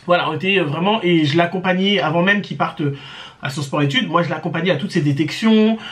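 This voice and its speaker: A woman's voice: on the low side, fast talker. Woman, casual storyteller to camera; hesitant, filler-heavy, conversational. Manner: hesitant, many fillers and restarts; chatty, addresses viewers directly. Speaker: storytime vlogger.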